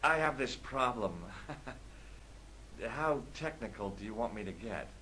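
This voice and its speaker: Wisecracking American adventurer, dry jokes, lively pitch, game hero. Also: male voice, slow pace, a little hiss or hum, poor audio. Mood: joking. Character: cheeky young American man adventurer, wisecracking. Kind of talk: game voice acting and narration.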